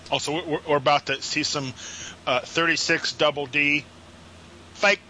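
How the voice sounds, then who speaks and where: Brief: male co-host, sharp and bright tone, dry quips, faint hiss. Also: a little high, steady tone, unhurried. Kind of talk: casual political chat.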